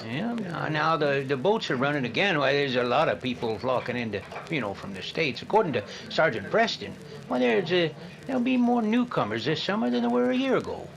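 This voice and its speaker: A man's voice: a little high, big pitch swings, a little fast, vocal fry, low-quality recording. A man playing a friendly, chatty small-town cafe man in a radio drama, folksy and rambling. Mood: friendly, gossipy. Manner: folksy, rambling, stop-and-start delivery. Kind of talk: old-time radio drama acting.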